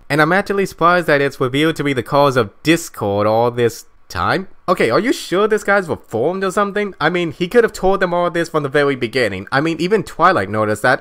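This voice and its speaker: A man with a middling pitch gives review commentary as a co-host. His phrasing is measured and a little wordy, conversational yet clearly prepared. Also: fairly bright tone.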